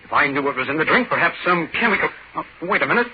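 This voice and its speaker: An agitated doctor, acted by a man, speaks in a highish, swooping voice on a muffled vintage radio recording. He is urgent and insistent, a man of facts in an old-time suspense drama, with faint noise underneath. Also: lively intonation, very fast, lightly acted. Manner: insistent, emphatic. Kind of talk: 1940s radio acting. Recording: dull, noisy 1940s radio recording.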